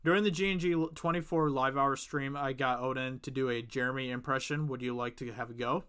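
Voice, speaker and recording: A man's voice: mid-range voice, steady tone, fairly quick, pushed and bright, smooth. A young man with a Michigan accent chatting casually with viewers as the host of a live stream. He rambles, uses a lot of fillers, and sounds slightly whiny. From Michigan, USA. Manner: rambling, with many fillers and restarts.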